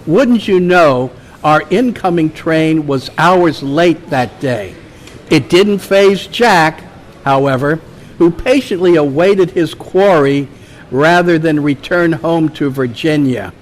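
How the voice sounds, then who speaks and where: Slow, higher-pitched man reading a tribute. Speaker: club member presenting an award and reading a tribute. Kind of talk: reading a written tribute aloud at a meeting.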